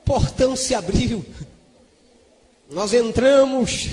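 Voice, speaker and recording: A man's voice: very high voice, takes it slowly, darkish tone. A man with a Brazilian Portuguese accent preaching a Pentecostal testimony in a fervent, exclamatory manner. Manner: fervent, exclamatory, breaking into tongues. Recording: long hall reverberation.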